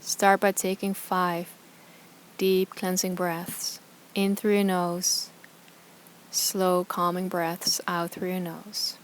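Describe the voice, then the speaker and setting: A woman guiding a meditation as a yoga teacher, speaking softly and soothingly in short, calm phrases with pauses between them. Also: on the low side, a little hiss or hum, rough recording. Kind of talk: guided meditation instruction.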